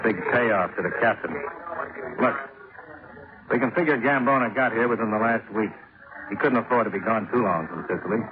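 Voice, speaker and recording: An American actor playing a hard-boiled, wry investigator, narrating a 1950s radio detective drama in a clipped, matter-of-fact way. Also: male voice, fairly low pitch, normal speed, pauses often, crowd noise, lightly acted. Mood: brisk reasoning. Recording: tinny old 1950s radio recording, music behind.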